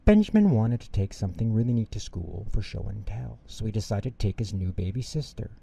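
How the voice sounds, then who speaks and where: Male storyteller for kids' bedtime tales: lowish gravelly voice, animated range. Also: brisk. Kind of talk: expressive children's bedtime story reading.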